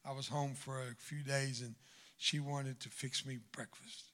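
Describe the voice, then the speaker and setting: An older man preaching a sermon in church, swinging from loud exhortation to hushed asides. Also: medium pitch, little pitch movement, a little slow. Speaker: guest preacher. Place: church sanctuary.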